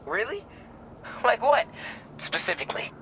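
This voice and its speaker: A man's voice: very high-pitched, sing-song, measured pace, sharp tone, slight background noise, full character voice. An actor playing a nervous, eager teenage boy in a video game, hesitant and rambling, on a phone call. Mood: nervous, curious. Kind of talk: video game cutscene acting.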